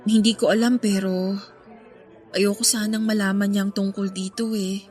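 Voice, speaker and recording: A woman's voice: mid-range voice, level tone, normal speed, darkish tone, faint music behind, lightly acted. A woman acting a guarded, self-doubting young pregnant woman in a radio drama, speaking hesitantly and earnestly.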